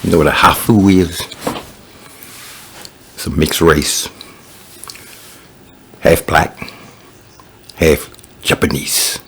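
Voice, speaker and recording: Channel host voice-over: low-pitched man, rough and gravelly, unhurried. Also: fairly even intonation, fairly bright tone, a little hiss or hum. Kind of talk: voice-over introduction.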